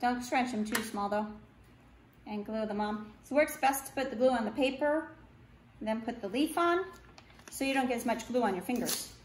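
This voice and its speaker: A middle-aged woman gives simple step-by-step craft instructions for children in short, unhurried phrases, recorded indoors from across a table. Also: fairly high pitch. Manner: unhurried, short simple phrases while working with her hands. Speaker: art teacher demonstrating a craft. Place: indoor room at a wooden table. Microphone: camera a couple of meters away. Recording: roomy echo, faint background noise.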